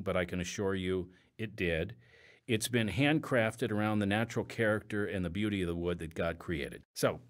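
A man hosting an audio drama podcast, reading announcements with casual, conversational asides. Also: fairly low pitch. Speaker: podcast host.

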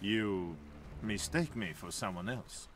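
A man's voice: on the low side, expressive, slow pace, slight background noise. A man playing a proud, imperious monarch in a video game, with a stern, haughty and formal delivery. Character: proud, imperious male monarch, the Tsar of Russia. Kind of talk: video game cutscene acting.

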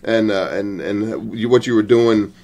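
A man hosting a radio wrestling show from a studio, talking in a folksy, halting way with lots of fillers and repeats. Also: lowish voice, fairly even intonation, a little mellow. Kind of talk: casual interview hosting.

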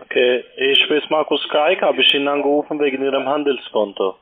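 A man's voice: medium pitch, fairly even intonation, fairly quick. A non-native speaking man making a sales call by phone as a call-center agent. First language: not German. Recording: narrow tinny telephone line.

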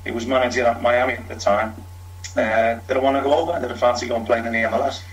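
A man's voice: mid-range voice, steady tone, fast talker, dull audio. Middle-aged man, Liverpool accent, frank storyteller, ex-footballer interviewee. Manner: frank, talks in long runs with fillers. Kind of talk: candid storytelling.

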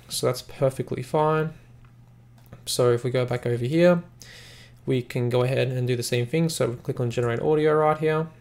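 A man hosting a casual software tutorial, explaining in a rambling, repetitive way. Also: mid-range voice, gravelly. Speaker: software reviewer, tutorial host. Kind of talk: casual screen-recording tutorial narration.

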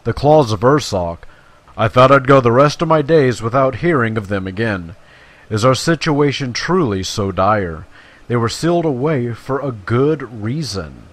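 A man with a medium-pitched voice talks his way through a video game. He is chatty and playful, slangy in his remarks and given to musing to himself, and he also reads quest text aloud. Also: lively intonation, a little slow. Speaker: video game player and commentator. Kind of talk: casual gameplay commentary with quest text read aloud.

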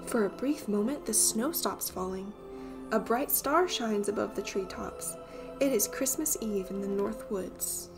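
A woman reading a children's picture book aloud as an expressive storyteller. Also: medium pitch, measured pace, over music.

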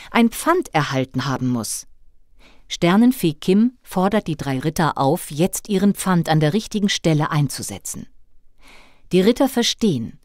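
A woman's voice: mid-range voice, darkish tone, no echo, studio quality. A woman reading scripted narration for a children's audio play.

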